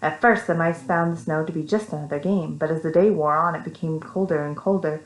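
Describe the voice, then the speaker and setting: A woman with an American accent reading a story to young children, animated, with simple casual asides. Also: low-pitched, brisk. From Texas, United States. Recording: echoey room.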